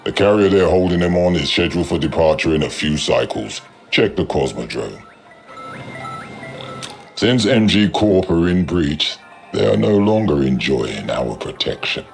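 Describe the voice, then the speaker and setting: An actor playing a haughty, menacing alien crime boss in a video game, with a gravelly voice and cold, deliberate threats. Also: male voice, low voice, animated, faint music behind. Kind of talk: video game cutscene acting.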